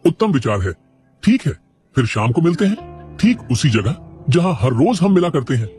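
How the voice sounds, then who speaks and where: A man voicing a lively village priest. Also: fairly high pitch, moderate pace. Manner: expressive, proper. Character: proud middle-aged male village priest. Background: faint music.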